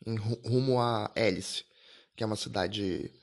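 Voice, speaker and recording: A man's voice: lowish voice, unhurried. Chatty young man reviewing a film. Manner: chatty, full of "né?" and restarts, laughs at himself. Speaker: podcast host. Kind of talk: casual solo podcast film review.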